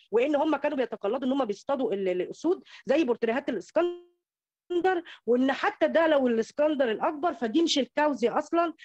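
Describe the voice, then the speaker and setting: A woman with an Egyptian Arabic accent giving an academic slide lecture over an online call, halting and repeating words as she goes. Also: sits high, a little mellow, dry recording. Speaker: academic presenter. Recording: dull lo-fi online call audio.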